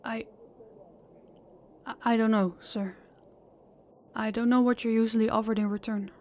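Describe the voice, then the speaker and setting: A woman's voice: fairly high pitch, steady tone, normal speed, darkish tone, close to an everyday voice. A woman voicing a polite, desperate young woman pleading with a crime boss in an audio roleplay, speaking formally and deferentially. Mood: uneasy. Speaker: voice actor and channel host.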